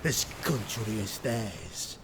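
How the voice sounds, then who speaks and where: An actor voices a doomed male soldier in a grim fantasy audio play. His voice is extremely creaky and gravelly, slow and bleak with resignation, over faint ambient noise. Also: lowish voice, lively intonation, heavily acted. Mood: grim, resigned. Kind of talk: full cast fantasy audio drama acting.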